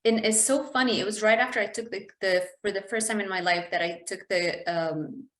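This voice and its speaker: A woman's voice: medium pitch, fairly quick, fairly bright tone. Woman podcast host in a roomy, echoing space. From Lebanon. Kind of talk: conversational podcast interview.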